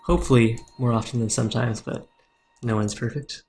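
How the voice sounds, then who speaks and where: A man with a soothing, mid-pitched voice talks through a live strategy game as he plays. He explains each move calmly and answers viewers in chat between turns. Keyboard typing and mouse clicks tick away behind him. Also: fairly quick. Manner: calm, explaining his moves as he plays. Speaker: game streamer.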